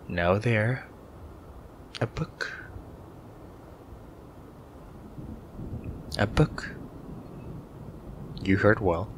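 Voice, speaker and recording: A shy, grumpy young incubus student played by a man speaks in a deep voice for an ASMR roleplay. He is slow and grudging, hesitating and dropping toward a whisper at times. Soft rain ambience sits behind him. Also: slight background noise. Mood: stern, sardonic. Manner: hesitant, grudging, sighing, half-whispered in places.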